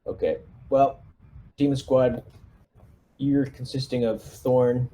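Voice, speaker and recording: A man talking casually through a tabletop roleplaying game, giving squad orders in a halting way. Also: medium pitch, fairly even intonation, mellow, a little hiss or hum.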